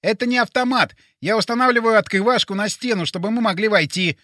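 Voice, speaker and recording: A man voicing a bossy, excitable, self-important male sidekick with a speech impediment on his R sounds, speaking emphatically. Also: high-pitched, fast talker, dry recording, clean studio sound, pitched above the performer's own voice. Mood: brisk explaining. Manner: emphatic, insistent.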